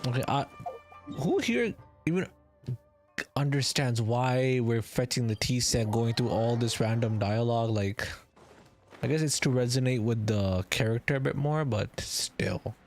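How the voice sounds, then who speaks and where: A man's voice: medium pitch, a little slow, faint music behind. A young man giving casual, slangy live commentary as he streams a game. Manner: slangy, informal.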